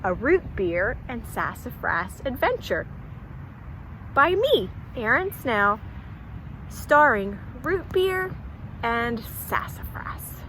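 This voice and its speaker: A woman telling a folktale at a children's storytime, pausing to ask young listeners questions. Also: a little high, very animated, pushed and bright, slight background noise, poor audio.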